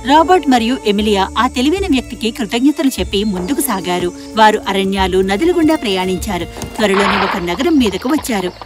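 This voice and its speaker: A woman narrating a scripted children's fairy tale in an expressive storytelling manner. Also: mid-range voice, fairly quick, over music.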